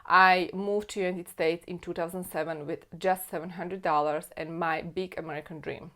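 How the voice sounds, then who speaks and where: A woman's voice: lowish voice, steady tone, normal speed, pushed and bright. A woman from Slovakia with a Slovak accent, an immigration attorney talking straight to camera and repeating her key points earnestly. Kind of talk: explainer video to camera.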